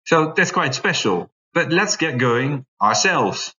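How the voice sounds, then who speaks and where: A man's voice: fairly high pitch, big pitch swings, takes it slowly, sharp tone. A man in his forties, an English speaker, giving a recorded math lecture at a whiteboard, explaining step by step with frequent fillers. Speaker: university lecturer. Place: classroom with whiteboard, indoors. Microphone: far camera mic. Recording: dull, roomy classroom sound.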